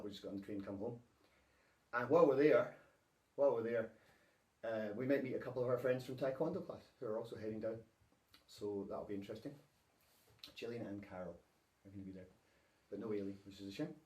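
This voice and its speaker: A man's voice: fairly low pitch, a little mellow. A man chatting informally and playfully, as a father does in a casual vlog. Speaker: vlogger and father. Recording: echoey, with clear background noise.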